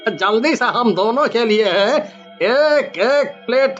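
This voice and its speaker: A man voicing a hungry young customer who hums and smacks loudly while eating. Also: very high-pitched, animated, slow pace, dull audio, soft background music, heavily acted. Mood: eager. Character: greedy young man eating at a food stall.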